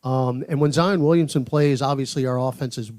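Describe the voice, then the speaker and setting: A man's voice: mid-range voice, a little fast, darkish tone. A man, a radio play-by-play announcer, chatting casually on a podcast in a hesitant way, full of "uh" and "you know". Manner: hesitant, filler-heavy phrasing.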